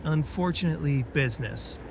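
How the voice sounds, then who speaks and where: A man's voice: a little high, vocal fry. Guy doing a guilty young captain, pleading and stammery, ai voice changer, faint hiss. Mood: earnest. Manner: earnest, pleading, halting. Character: guilt-ridden young male ship captain, earnest. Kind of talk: fan audio drama acting.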